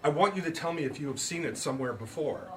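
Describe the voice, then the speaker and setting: A man's voice: fairly high pitch, expressive, fast talker, close to an everyday voice, rough recording. A man voicing a composed young female detective in a comic mystery story, asking polite, probing, formal questions. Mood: businesslike.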